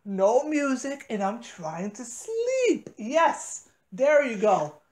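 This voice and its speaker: Insistent, complaining mid-pitched voice on a call. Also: big pitch swings, slow pace, a little mellow. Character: tired pigeon trying to get to sleep. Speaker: prank call participant playing a pigeon. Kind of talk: improvised phone skit.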